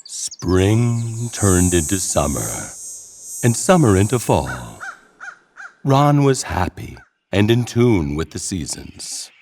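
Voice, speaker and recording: A man narrating a scripted horror story in a dramatic, deliberate storytelling manner. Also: deep voice, very animated, darkish tone, a little hiss or hum. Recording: music bed under the voice. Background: music.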